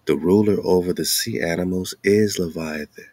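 A man's voice: deep voice, moderate pace, a little mellow, dry recording. A man hosting a show, reading aloud and commenting casually with informal, slangy asides. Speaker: radio show host.

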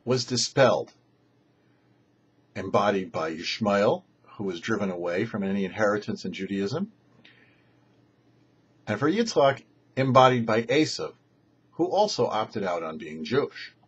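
A middle-aged man giving a short religious teaching as a rabbi, in measured, emphatic, deliberate phrases. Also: on the low side, breathy edge, highs cut off. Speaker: rabbi, show host. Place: small indoor set with cloth backdrop.

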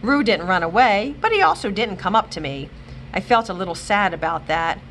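A woman librarian reading a children's chapter book aloud with expressive, animated storytelling. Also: medium pitch, brisk, slight background noise, low-quality recording. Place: library, indoors.

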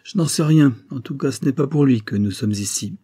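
A man's voice: medium pitch, animated, brisk, dark tone, slightly rough, no echo, voice pushed up. A man voicing a curt, self-important police secretary in an audiobook story, dry and commanding.

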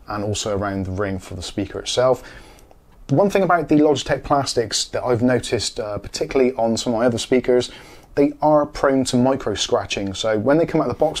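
A man's voice: medium pitch, a little mellow. A man in his forties explaining a product in a matter-of-fact way, in a casual hands-on review. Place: small home studio room.

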